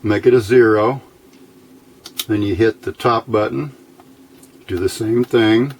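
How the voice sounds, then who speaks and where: A man's voice: fairly low pitch, fairly even intonation, slow, a little hiss or hum. A man giving a casual hands-on demonstration as an electronics hobbyist, talking in a folksy, informal, rambling way.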